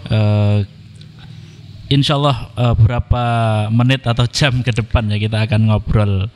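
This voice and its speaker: A man, speaking as a podcast guest in a polite, measured way, with frequent "eh" and "ya" fillers. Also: lowish voice, fairly even intonation, darkish tone, big-room sound, a little hiss or hum. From Indonesia. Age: 30s. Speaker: podcast guest, Quran reciter. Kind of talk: casual podcast conversation.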